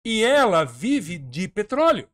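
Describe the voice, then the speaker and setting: A man's voice: sits high, big pitch swings, slow. A man from Santa Catarina, Brazil, a former tourism minister, speaking emphatically and argumentatively as a guest on a radio talk-show interview. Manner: emphatic, argumentative, repeats words while thinking.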